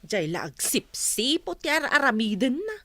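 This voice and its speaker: Woman playing a high, bright village gossip, Ilocano. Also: very animated, normal speed, no room sound, full character voice. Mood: gossipy. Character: chatty, carefree female village gossip. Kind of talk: Ilocano radio comedy drama acting.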